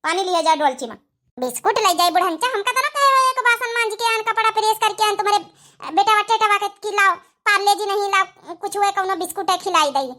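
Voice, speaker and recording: A woman's voice: very high-pitched, fairly quick, pushed and bright. A woman voicing a defiant young village daughter-in-law in a cartoon comedy, whining and arguing with an Awadhi accent. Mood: whining, resentful. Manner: whining, argumentative, nagging. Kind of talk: comic cartoon skit.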